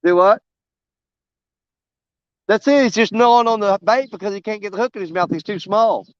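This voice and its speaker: Hoarse man, high clear voice. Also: moderate pace, no room sound, highs cut off. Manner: rambling, self-mocking, drawling. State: losing his voice, coughing. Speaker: fishing vlogger streaming live. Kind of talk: casual live-stream chat while fishing. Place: outdoors by a river.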